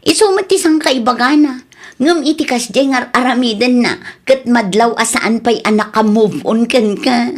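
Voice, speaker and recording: A woman's voice: on the high side, moderate pace, natural voice. A woman playing a frank, teasing young confidante in a comedy radio drama.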